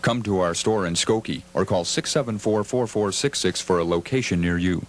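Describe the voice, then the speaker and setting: Deep-voiced male commercial announcer. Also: a little fast. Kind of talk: scripted retail advertisement.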